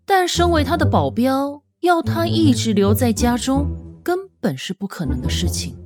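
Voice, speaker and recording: Expressive, animated female audiobook narrator. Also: sits high, normal speed. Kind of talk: dramatic, expressive story narration. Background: music.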